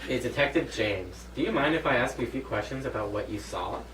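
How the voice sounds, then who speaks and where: A man's voice: mid-range voice, lively intonation, a little fast, fairly bright tone, lightly acted. Man acting polite detective in a roomy recording. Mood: composed, probing. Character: polite male police detective questioning a witness. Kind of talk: amateur script read-through. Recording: roomy, distant mic, faint noise.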